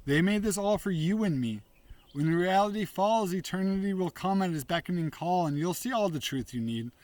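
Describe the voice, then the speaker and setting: A man's voice: high-pitched, lively intonation, normal speed, darkish tone, no room sound, pitched above the performer's own voice. A man playing a brooding, fanatical male drinker in a dramatic monologue, with declamatory delivery full of rhetorical force. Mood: fervent.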